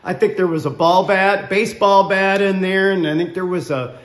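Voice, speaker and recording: A man's voice: sits high, steady tone, moderate pace. A man in his sixties talking casually to camera in a folksy, plainspoken way. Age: 60s. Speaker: machinist and channel host. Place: brick-walled workshop, indoors. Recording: echoey room sound.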